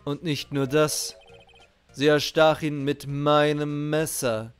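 A stern young male prosecutor from a video game, read by a man. He speaks formally and seriously, brooding in clipped, measured phrases over faint music. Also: fairly high pitch, flat delivery, clear, resonant tone. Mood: grave.

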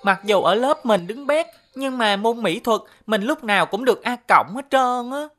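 A woman voicing a mischievous young schoolboy in an animated series, with an exaggerated, childlike delivery. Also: on the high side, moderate pace, soft background music. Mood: playful, boastful. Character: mischievous young cartoon schoolboy, playful and grumbling.

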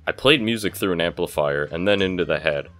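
Low-pitched young man, casual DIY narration. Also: a little fast, a bit bright, soft background music. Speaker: DIY electronics video maker.

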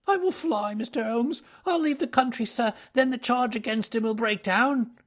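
A man's voice: moderate pace, fairly bright tone. A man voicing a terrified, cringing small-time thief in an audiobook story, with a crackling, pleading voice. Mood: anxious pleading. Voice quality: crackling, shrill when pleading.

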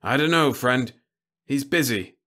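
A man voicing a gruff male guard who speaks bluntly. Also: medium pitch, animated, a little slow, sharp tone, voice pushed up. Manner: grunting, blunt.

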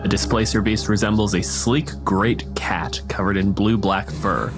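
A man's voice: on the low side, steady tone, normal speed, music in the background. A man from Canada co-hosting a podcast, moving between discussion and read-out descriptions. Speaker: podcast co-host, physicist. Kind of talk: podcast discussion and scripted reading.

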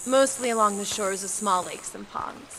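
A woman's voice: medium pitch, moderate pace, fairly bright tone, a little hiss or hum, close to an everyday voice, rough recording. An American actress playing a playful, teasing young woman scientist in a video game, precise when she explains. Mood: earnest. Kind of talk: naturalistic cinematic game acting.

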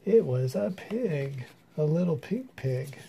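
A man reading a children's story aloud in an animated, dramatic way. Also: on the high side, slow, vocal fry, slight background noise. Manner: animated, dramatic, with character voices. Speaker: storyteller reading a picture book. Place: room in a house.

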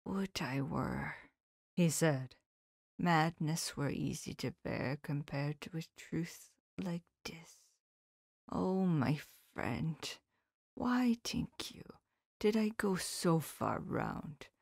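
A woman voicing an elderly male professor in an audiobook, speaking in a slow, solemn, often whispered voice with formal, old-fashioned phrasing. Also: low-pitched, lively intonation, vocal fry, a little mellow, no room sound, clean studio sound, full character voice. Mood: grave. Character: elderly male professor, grave, tender and sorrowful. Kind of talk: audiobook character voice.

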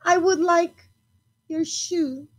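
A woman voicing a polite old mother from a storybook, speaking in a deliberate, expressive way. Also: extremely high pitch, voice pushed up. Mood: hopeful.

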